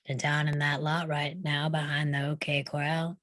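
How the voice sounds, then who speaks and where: A woman's voice: deep voice, little pitch movement, normal speed, a bit bright, no echo. A woman playing a plain-talking Old West townsperson in a screenplay table read. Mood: urgent warning.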